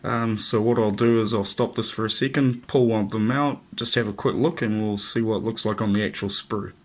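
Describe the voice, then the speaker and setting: A man's voice: on the low side, fairly even intonation, fairly quick, a bit bright. A man with a head cold, chatting easygoing through a hobby product review with many ums. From New Zealand.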